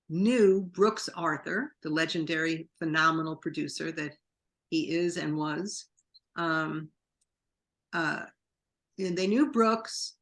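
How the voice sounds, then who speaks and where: A woman's voice: fairly low pitch, gravelly, bright, forceful tone. Chatty woman telling long stories. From New York. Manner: chatty, rambling anecdotes with quoted dialogue. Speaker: guest. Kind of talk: interview storytelling.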